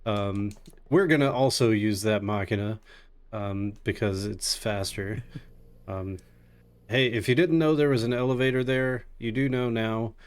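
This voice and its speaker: Low-voiced man, jokey gaming commentator. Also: measured pace, clear, resonant tone. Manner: jokey, sarcastic. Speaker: speedrun commentator. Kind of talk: casual live game commentary. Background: video game music.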